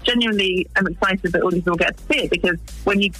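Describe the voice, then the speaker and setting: A woman in her forties who grew up in East Africa, speaking as a wildlife filmmaker in an interview, earnest and pausing to find her words. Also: lowish voice, a bit bright, husky, faint music behind, poor audio. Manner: earnest, searching for words with restarts. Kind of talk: interview guest.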